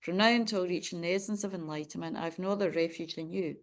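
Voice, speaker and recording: A woman Buddhist nun leading a prayer ceremony for an online group, reciting in a steady, measured way and chatting warmly with participants. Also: lowish voice. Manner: friendly, chatty greeting of participants.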